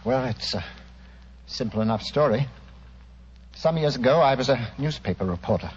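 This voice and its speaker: An actor playing a proud but ashamed British gentleman, earnest and well-spoken, in 1940s radio drama style. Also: medium pitch, expressive, moderate pace, breathy edge. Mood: resigned, confessional. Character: proud, ashamed well-bred gentleman confessing his secret. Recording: muffled old radio recording.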